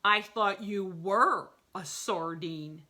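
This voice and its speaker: Casting: dry, dismissive storybook snake, a grumpy male sidekick, read by a woman in a bright voice. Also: mid-range voice, takes it slowly.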